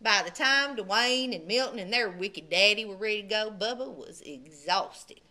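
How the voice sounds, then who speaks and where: A woman's voice: medium pitch, normal speed. A woman librarian reading a folksy Western picture book aloud to children. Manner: animated, folksy storytelling. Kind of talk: storybook read-aloud.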